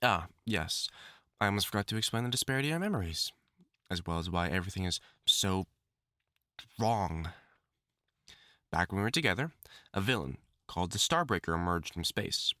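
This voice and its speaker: A man's voice: sits low, animated, normal speed, long pauses, pushed and bright, dry recording. A man acting a lovesick, obsessive supervillain scientist with formal, theatrical diction in a dramatic audio roleplay monologue. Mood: solemn, explanatory. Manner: formal, theatrical diction, tender endearments breaking into anguished outbursts.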